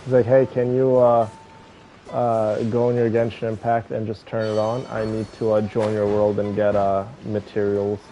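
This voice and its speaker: Low, gravelly, easygoing streamer guy. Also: level tone, normal speed, warm tone. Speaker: live game streamer. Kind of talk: casual chat with stream viewers. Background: faint game music.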